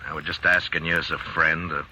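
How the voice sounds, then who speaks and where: An actor playing a weary, decent frontier marshal in a 1950s radio drama, speaking low, quiet and hesitant. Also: male voice, level tone, a little fast, slight background noise, full character voice. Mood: steady. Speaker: town marshal. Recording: old radio broadcast with music underneath.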